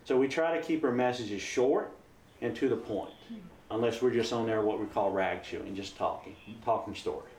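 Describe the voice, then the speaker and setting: A man's voice: lowish voice, moderate pace, darkish tone. A man speaking casually as an amateur radio operator being interviewed, explaining how things work. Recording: roomy echo, some background noise.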